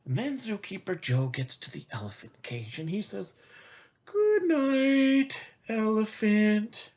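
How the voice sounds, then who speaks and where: A man reading a picture book to young children, expressive and chatty, asking questions as he goes. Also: fairly high pitch, normal speed, slightly rough.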